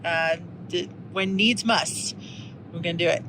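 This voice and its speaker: A woman's voice: lowish voice, measured pace, background noise. A woman vlogging from the passenger seat of a car at night, talking casually and animatedly with her partner. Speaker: vlogger, co-host.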